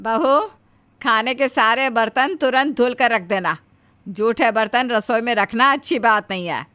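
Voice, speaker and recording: Older mother-in-law, woman performing, storybook cartoon: grumbling, bossy, emphatic, slow delivery. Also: on the high side, bright, forceful tone, smooth, dry recording. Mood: commanding. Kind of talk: animated moral storybook acting.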